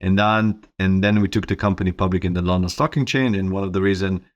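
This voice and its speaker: A man's voice: deep voice, steady tone, fast talker, muffled recording. A middle-aged man with an Israeli accent giving informal, conversational answers as an interview guest. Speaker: interview guest, company co-founder.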